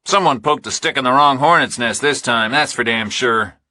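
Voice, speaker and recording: A man's voice: medium pitch, normal speed, sharp tone, no room sound, pitched above the performer's own voice. A man voicing a menacing ex-military contractor in an audiobook thriller, with combative, clipped tough talk. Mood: vengeful, forceful. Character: hard, menacing male military contractor, ex-soldier.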